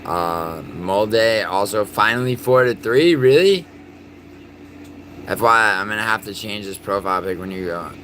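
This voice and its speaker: A man from New York City streaming live, chatting casually and cursing in excitable outbursts between mumbled fillers. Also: lowish voice, unhurried, a little hiss or hum. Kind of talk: casual live stream chat, reacting to soccer and reading chat.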